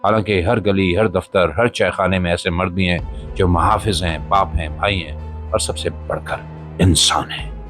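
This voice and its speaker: A man narrates a scripted spoken-word monologue in a solemn, emphatic, rhetorical manner, with short pauses between phrases. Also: low voice, steady tone, fairly bright tone. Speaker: podcast host and voiceover narrator. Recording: voice-over with music behind.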